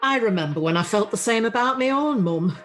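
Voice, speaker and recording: A woman's voice: on the high side, animated, fairly quick, a bit bright. A woman with a Northern English accent playing a middle-aged, blunt, weary widow who cares for her mother in a radio play. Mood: fond, flustered. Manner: blunt, colloquial, grumbling. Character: chatty, worn-out, down-to-earth widow caring for her mother. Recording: video call recording with music.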